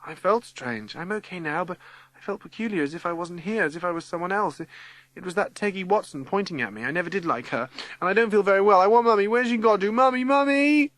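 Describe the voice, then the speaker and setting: A man's voice: sits high, lively intonation, quick pace, pushed and bright, no echo, pitched above the performer's own voice. A man voicing a dazed, sulky schoolgirl with a faltering, plaintive manner in a storybook reading. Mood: dazed, tearful. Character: dazed, sulky little schoolgirl wanting her mother.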